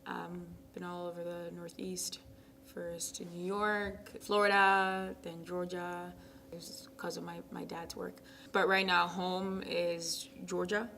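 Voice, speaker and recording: A young woman from Ghana, a college tennis captain, answering interview questions in a soft, casual way with many ums, sometimes dropping to a near whisper. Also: fairly low pitch, little pitch movement, slow, creaky. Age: young adult. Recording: noisy background.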